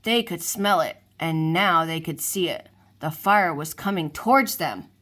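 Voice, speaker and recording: A woman's voice: sits low, a little slow, a bit bright. Woman reading a children's story aloud. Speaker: storybook reader.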